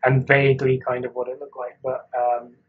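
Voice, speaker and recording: A man's voice: medium pitch, monotone, normal speed, phone-quality sound. A man from Wales, chatting as a guest artist over a video call, rambling and hesitant with frequent laughs. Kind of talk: casual chat.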